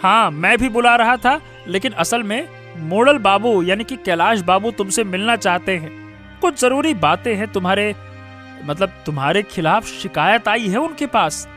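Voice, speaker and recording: Guy doing pushy village man, cartoon, high sharp voice, insistent. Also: expressive, moderate pace, soft background music. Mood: urgent. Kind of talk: Hindi cartoon moral story dialogue.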